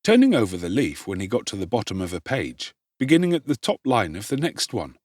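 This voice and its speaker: A man reads a classic novel aloud in a low register, with lively swings of intonation. His delivery is formal and measured, slightly husky, in a dry, close-sounding space. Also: no room sound. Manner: measured, formal storytelling with expressive emphasis. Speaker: audiobook narrator. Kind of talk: scripted narration of a classic novel.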